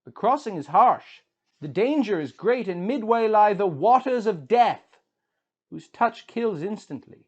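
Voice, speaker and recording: A wise tavern-keeper woman voiced by a man speaks in a raised, high pitch. She counsels with slow, solemn deliberation, like a figure in an old storybook epic. The sound is slightly dull. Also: lively intonation. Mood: grave warning. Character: wise, measured female tavern keeper giving gentle counsel.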